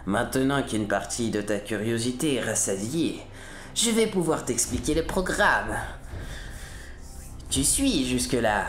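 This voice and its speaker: A woman's voice: rumbling low, animated, unhurried, reverberant, faint music behind. A woman playing a sadistic military interrogator in an audio drama, gloating, taunting and cruel with mock-polite menace. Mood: cold menace.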